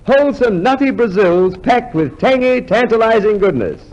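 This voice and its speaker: A man's voice: high-pitched, very animated, moderate pace, a little mellow, dull audio. A man announcing a vintage radio commercial on an old recording. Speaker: advertisement announcer.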